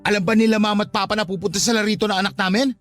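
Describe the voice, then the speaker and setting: Rapid-fire jealous young ex-boyfriend. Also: male voice, high-pitched, steady tone, fairly bright tone, soft background music. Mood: defensive, stubborn. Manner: argumentative, defensive. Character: jealous, proud, insecure young father and ex-boyfriend. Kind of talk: Tagalog radio drama acting.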